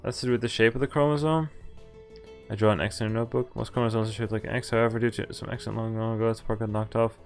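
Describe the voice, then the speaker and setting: A man's voice: fairly low pitch, steady tone, very fast. A man streaming a game, reading its dialogue aloud and adding informal asides of his own. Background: game music.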